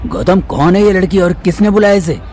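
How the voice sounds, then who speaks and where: A man's voice: sits high, very animated, fairly quick, dark tone, faint music behind, voice pushed up. A man playing a stern, blustering middle-aged uncle who demands answers in an audio drama. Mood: indignant. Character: stern, indignant older uncle of the family. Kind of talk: Hindi audio drama acting.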